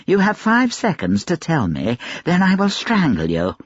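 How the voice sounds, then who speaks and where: A man voicing a cold, educated gentleman lord in an audiobook, quiet and steady, calmly threatening. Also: lowish voice, breathy edge, no echo, highs cut off, full character voice. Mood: cold menace. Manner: calm, collected, deliberate threats. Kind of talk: audiobook character dialogue.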